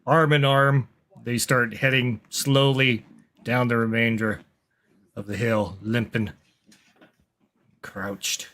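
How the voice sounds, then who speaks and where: A man playing a tabletop roleplaying game, narrating his character's actions and lines in a measured, deliberate way. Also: mid-range voice, fairly even intonation, fairly bright tone.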